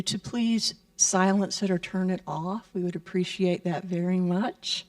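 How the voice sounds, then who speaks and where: Slow, gentle woman giving church announcements. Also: on the low side. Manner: slow, gentle, blessing-style delivery. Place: church sanctuary. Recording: voice picked up from a distance.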